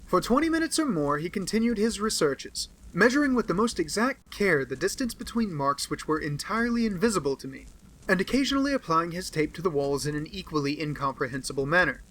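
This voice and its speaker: A man with an American accent narrating a classic detective novel in a steady, earnest way. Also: sits high, fairly quick, rough recording. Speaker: audiobook narrator. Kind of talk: amateur audiobook narration.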